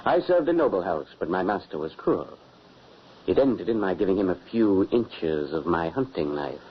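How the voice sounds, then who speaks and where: An actor playing a stern, secretive huntsman and father, gruff and commanding, in old radio drama acting. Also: deep voice, very animated, moderate pace. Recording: dull old radio recording with hiss.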